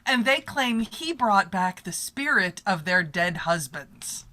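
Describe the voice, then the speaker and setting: A woman playing a brash, bright-voiced girl photographer. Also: medium pitch, lively intonation, takes it slowly. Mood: excited, gossipy. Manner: breezy, slangy, emphatic. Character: brash, eager female newspaper photographer, slangy and scheming. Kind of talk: old-time radio drama acting.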